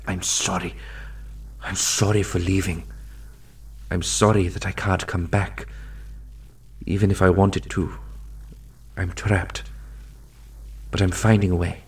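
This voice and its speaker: A husky, low-voiced divine elder brother acted by a man, grave and urgent. He commands and pleads slowly, in the solemn style of fantasy audio roleplay. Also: darkish tone, exaggerated voice. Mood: pleading, remorseful.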